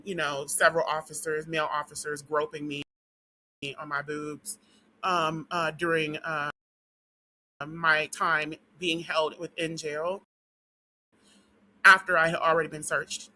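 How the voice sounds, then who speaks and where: Woman vlogger, hoarse, losing her voice; very bright, tense tone; rambling, profane delivery. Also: sits low, little pitch movement, slow pace, long pauses, rough recording. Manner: rambling and profane, with frequent "um" and "what do you call it". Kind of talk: casual rant to camera.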